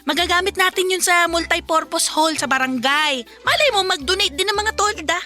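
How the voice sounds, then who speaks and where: A woman's voice: extremely high pitch, normal speed, bright, forceful tone. A woman playing a pushy, nagging middle-aged mother in a Filipino radio drama, chattering and scolding with exclamations. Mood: bossy, scheming. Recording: music bed behind the voice.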